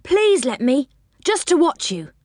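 A woman voicing an excitable young storybook child who begs insistently in a whiny way. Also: a little high, normal speed, no echo, clean studio sound, voice pushed up. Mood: begging. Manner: begging, insistent.

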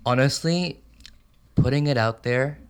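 A man co-hosting a radio show, excitable and often trailing off mid-sentence. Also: mid-range voice, a little slow, clean tone. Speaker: radio co-host. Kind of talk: casual radio chat.

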